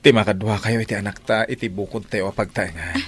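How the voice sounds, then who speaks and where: A man's voice: fairly low pitch, steady tone, brisk, a bit bright, husky. A man playing an earnest, humble, devoted husband in an Ilocano radio drama, speaking with an Ilocano accent. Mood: happy, respectful.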